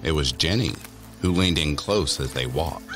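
A man's voice: a bass voice, normal speed. A man narrating a scripted spooky story about teens, as a storyteller in an audio drama. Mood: measured, eerie. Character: male storyteller of a spooky teen tale. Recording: music under the voice.

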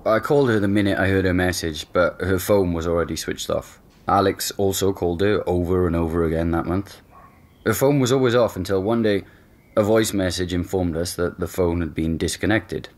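Man doing relaxed young guy, very low voice, joking, hesitant fillers. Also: a little fast, lightly acted. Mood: casual. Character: easygoing young man, boyfriend who owns the house. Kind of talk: audio drama acting.

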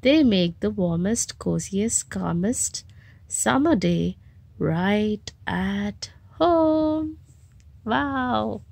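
Slow-paced woman reading a children's storybook. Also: medium pitch, expressive, creaky, a little mellow, breathy edge. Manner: asks the children questions while reading. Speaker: storybook reader and host of children's story time. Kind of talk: read-aloud picture book narration for young children. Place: home bedroom, indoors.

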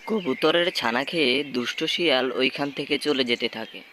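Man, storybook narrator, bright forceful tone, medium pitch, scripted delivery, light background noise. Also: moderate pace.

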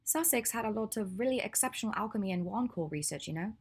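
A woman reading the part of an earnest, clever young witch, her voice a little raised and husky. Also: mid-range voice, animated, fast, pitched above the performer's own voice. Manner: earnest, then sulky and teasing.

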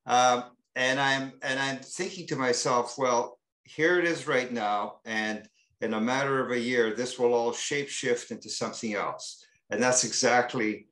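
A man speaking as an artist on a panel, talking in a halting way with many fillers and restarts. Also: mid-range voice, steady tone, fairly bright tone, roomy sound. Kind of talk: panel discussion. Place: gallery talk room.

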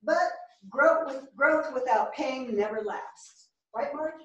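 A woman's voice: sits high, slow pace, fairly bright tone, dull audio. Woman acting a wry grumbler, echoey stage. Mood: wry grumbling. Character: opinionated, wry woman who loves to grumble. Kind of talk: staged play reading. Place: small theater stage. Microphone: far camera. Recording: echoey theater, distant camera microphone.

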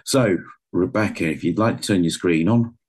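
A British man in his fifties with a croaky voice, hosting a charity's online Q&A with many fillers and restarts. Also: low voice, moderate pace, darkish tone. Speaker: charity host. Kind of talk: introducing an online Q&A. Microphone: video call.

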